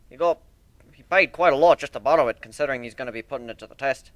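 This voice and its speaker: A man's voice: medium pitch, fast talker, no echo, lightly acted. A man voicing a boastful, hearty minotaur airship builder in a dramatic story reading, with a brash, folksy way of talking. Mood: boastful, explaining. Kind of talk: dramatic fan fiction reading.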